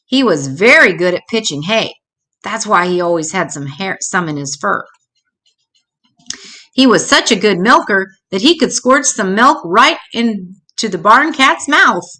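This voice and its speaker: A woman reading a children's book aloud in an expressive storytelling style, shifting her pitch to act out dialogue. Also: unhurried. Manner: acts out dialogue with changing pitch.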